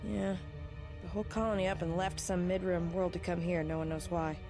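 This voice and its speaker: A woman voices a wary bounty hunter in a space game, blunt and guarded. She talks quickly in a slightly low register over clear background music. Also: flat delivery, close to an everyday voice. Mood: wary, urgent. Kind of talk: video game voice acting. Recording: music behind the voice.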